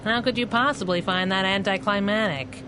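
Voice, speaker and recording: A woman's voice: mid-range voice, moderate pace, background noise, close to an everyday voice. An actress playing a sassy young female sidekick in a 1930s radio drama, with a cheeky, slangy, teasing way of talking. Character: sassy, wisecracking young woman, superhero's loyal sidekick.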